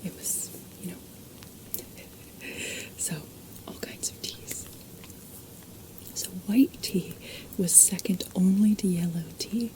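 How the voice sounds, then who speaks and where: A woman whispering softly in an ASMR video, chatting and wandering off on tangents as she explains things. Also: on the low side, low-quality recording. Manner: soft unvoiced whisper, chatty and digressive. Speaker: ASMR host.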